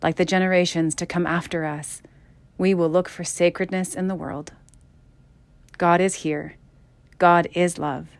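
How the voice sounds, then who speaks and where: A woman leads a liturgical call to worship. Her voice sits somewhat low as she speaks the scripted lines. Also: flat delivery, measured pace, creaky, pauses often, no echo. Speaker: worship leader.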